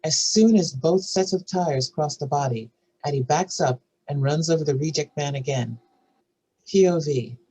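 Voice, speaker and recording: A woman's voice: low-pitched, moderate pace, mellow, slightly rough, rough recording. A woman reading stage directions as scripted narration for a drama table read.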